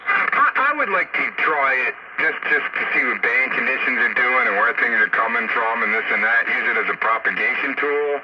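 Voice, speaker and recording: A man's voice: medium pitch, lively intonation, moderate pace, few pauses. An American man chatting casually as an amateur radio operator over a radio link. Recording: dull, very noisy radio transmission. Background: heavy radio noise.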